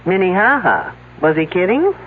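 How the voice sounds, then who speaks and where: A woman's voice: lowish voice, very animated, moderate pace, husky. An actress playing a sardonic, unflappable female detective in a 1970s radio drama, wry and plain-spoken. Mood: skeptical. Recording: tinny, noisy old radio broadcast.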